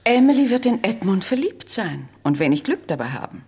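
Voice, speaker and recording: An actress playing a perceptive, politely frank lady confidante in a radio play, with a husky voice and gently probing manner. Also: mid-range voice, sing-song, brisk.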